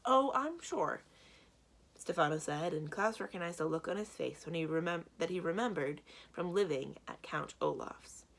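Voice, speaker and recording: A woman reading a children's book aloud as a library storyteller, with expressive narration. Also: low voice, normal speed, gravelly, hardly any pauses.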